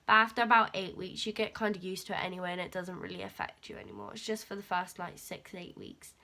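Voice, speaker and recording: A teenage girl chatting to her camera at home, rambling and filling gaps with ums and likes. Her voice is a little croaky and sniffly from a cold, picked up by the camera's mic in a room. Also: fairly low pitch, lively intonation, fast, pushed and bright. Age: teens. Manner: rambling, chatty, lots of fillers. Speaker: vlogger. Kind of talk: casual chat to camera.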